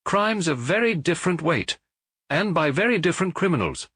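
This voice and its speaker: A man voicing a little priest detective in an audiobook, gentle and earnest as he explains in a measured, lecturing way. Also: a little high, slightly rough, no echo, clean studio sound, voice pushed up, full character voice. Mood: earnest explaining. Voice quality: gentle, even. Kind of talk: audiobook character dialogue.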